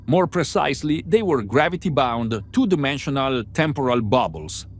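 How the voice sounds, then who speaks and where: A man's voice: medium pitch, lively intonation, moderate pace. A man playing an analytical starship crew member in an amateur sci-fi drama, explaining things precisely and methodically. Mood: explanatory.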